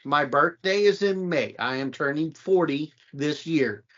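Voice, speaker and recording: A man in his late thirties hosting a podcast, telling stories animatedly with high exclamations. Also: animated, a little slow, a bit bright. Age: late 30s. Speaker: podcast host. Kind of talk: casual chat. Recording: dull lo-fi sound.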